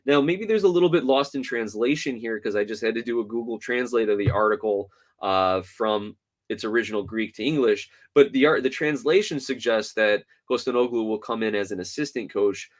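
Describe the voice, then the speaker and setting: A man hosting a soccer podcast solo, talking through results and rumors in an animated, opinionated way. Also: a little fast. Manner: animated, opinionated, swings from loud to low.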